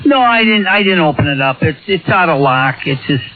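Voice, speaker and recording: Higher-pitched man on a thin CB signal. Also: lively intonation, brisk, phone-quality sound. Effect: radio transmission. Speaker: CB radio operator. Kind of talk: casual radio chat. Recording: thin, band-limited CB radio signal.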